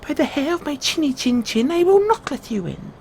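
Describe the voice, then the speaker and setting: Little storybook pig: very high squeal, scared yet defiant, some background noise. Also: male voice, big pitch swings, a little fast, vocal fry, darkish tone, full character voice. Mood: frightened, defiant. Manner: squealing falsetto refusals. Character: small frightened, defiant male storybook piglet.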